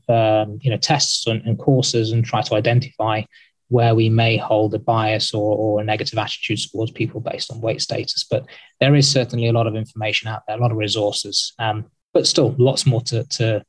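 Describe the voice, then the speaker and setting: A man speaking as an academic guest on a discussion panel, hesitant and full of pauses and restarts. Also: fairly low pitch, flat delivery, dry recording, low-quality recording. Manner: hesitant, many ums and restarts.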